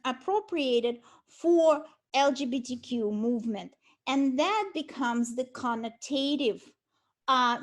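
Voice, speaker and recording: A middle-aged woman from Ukraine with a Russian accent, giving a university lecture with frequent hesitations and restarts. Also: fairly high pitch. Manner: hesitant, many restarts and ums. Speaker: art history lecturer.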